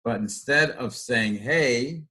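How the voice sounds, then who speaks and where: Older guy reading a kids book, singsong, husky, super animated pitch, takes it slow. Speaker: grandfather reading to children. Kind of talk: expressive picture-book reading.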